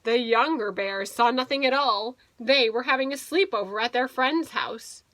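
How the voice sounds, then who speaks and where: A woman's voice: fairly high pitch, normal speed, fairly bright tone, no echo. A woman teacher reading a picture book aloud to young children, expressively and with little explanatory asides.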